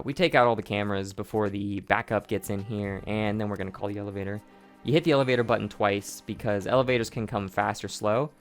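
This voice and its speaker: A man with a hoarse, sore throat giving casual commentary over his own speedrun, explaining each step, often restarting his sentences. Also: on the low side. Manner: explanatory, rambling, with frequent restarts and profanity. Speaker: gameplay commentator.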